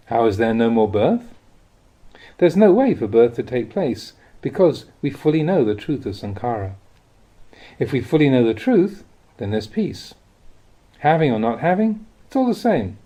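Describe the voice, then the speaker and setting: A man reading spiritual teachings as scripted narration, in a measured, instructive way with deliberate phrasing. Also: mid-range voice, mellow. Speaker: reader of Buddhist teachings.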